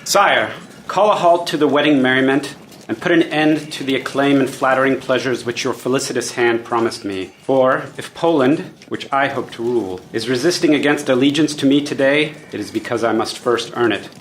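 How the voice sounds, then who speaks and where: A man's voice: mid-range voice, normal speed, a little hiss or hum, close to an everyday voice. Grandiose, proud nobleman, acted by a man. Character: proud, boastful noble suitor hungry for a crown. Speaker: actor. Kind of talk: unrehearsed table read of classical verse drama.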